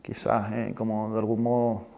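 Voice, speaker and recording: A man with a fairly low voice speaks as a film director being interviewed. He is thoughtful and halting, feeling his way through each idea. He often closes a phrase with a short questioning tag. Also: flat delivery, creaky, dark tone. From Spain and Chile. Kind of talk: reflective interview talk about own work.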